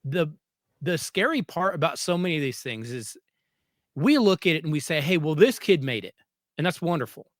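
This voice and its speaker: A man's voice: on the high side, very animated, moderate pace, no echo, studio quality. A man hosting a conversational interview, emphatic and repeating phrases to stress his points. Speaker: podcast host, former coach.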